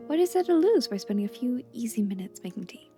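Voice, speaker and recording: Kindly tea shop gentleman played by a woman, quaint and unhurried, cosy video game character, faint music. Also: fairly high pitch, sing-song. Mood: gently persuasive. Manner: formal, quaint phrasing, gently polite.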